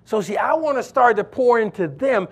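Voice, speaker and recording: A pastor with a strikingly high voice for a man preaches to his congregation. He drives points home by repeating phrases and urging listeners directly. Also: expressive, normal speed, husky. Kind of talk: sermon.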